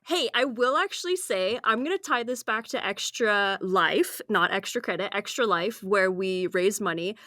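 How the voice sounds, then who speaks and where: A woman's voice: mid-range voice, lively intonation, moderate pace, few pauses, bright, forceful tone, no echo, clean studio sound. A woman co-hosting a podcast in casual chat, talkative and laughing often, her voice slightly strained from screaming. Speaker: podcast co-host.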